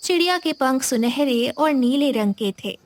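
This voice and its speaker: A woman's voice: high voice, expressive, measured pace. A woman narrating a scripted moral tale as a storyteller.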